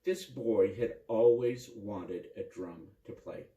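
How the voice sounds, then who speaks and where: A man narrating a children's story in an expressive, simple way, with dramatic repetition. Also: lowish voice, takes it slowly, dull audio. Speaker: priest and storyteller. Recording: roomy echo, distant microphone.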